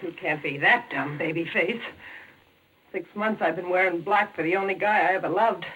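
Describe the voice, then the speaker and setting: A woman's voice: lowish voice, moderate pace, a bit bright, breathy edge, low-quality recording. An actress playing a tough, slangy, vengeful gangster woman in a 1940s radio crime drama. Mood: cold, menacing. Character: hard-bitten vengeful gangster woman with a gun. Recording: old 1940s radio recording.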